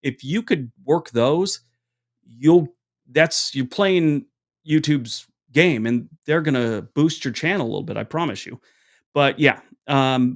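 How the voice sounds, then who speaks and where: Chatty man with a deep voice. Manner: rambling, chatty, many restarts and fillers; acts out both sides of an anecdote with raised pitch. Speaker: livestream host answering chat questions. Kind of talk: casual livestream chat. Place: room at home. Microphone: small wireless clip mic.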